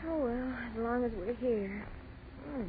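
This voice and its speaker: An actress playing a brash, impatient, wisecracking college student in a 1940s radio drama, sarcastic and blunt. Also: female voice, fairly high pitch, a little slow, narrow, tinny audio, natural voice. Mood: wry, relaxed. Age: young adult. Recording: scratchy, thin 1940s radio recording.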